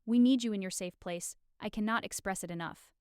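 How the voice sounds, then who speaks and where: A woman's voice: medium pitch, fairly quick, darkish tone, smooth, no room sound, clean studio sound, close to an everyday voice. A woman as an urgent TV storm forecaster warning viewers in live weather coverage. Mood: urgent, alarmed.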